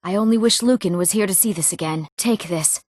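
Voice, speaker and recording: A woman's voice: medium pitch, brisk, darkish tone, no room sound, studio quality, close to an everyday voice. A woman acting an earnest young fantasy townswoman. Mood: subdued, grieving. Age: young adult. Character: eager young townswomen and nobles of a fantasy realm. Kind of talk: scripted fantasy game character dialogue.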